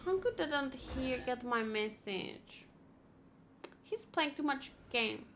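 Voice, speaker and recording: A woman streaming a video game, thinking aloud in a casual, chatty and expressive way. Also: high-pitched, takes it slowly, slight background noise. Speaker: gaming streamer.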